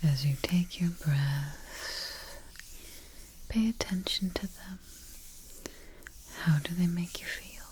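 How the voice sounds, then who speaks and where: A woman whispering softly and breathily in a gentle, soothing manner, guiding a sleep relaxation as an ASMR host up close to the microphone. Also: on the low side, rough recording. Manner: slow, gentle, soothing, repeats soft check-ins.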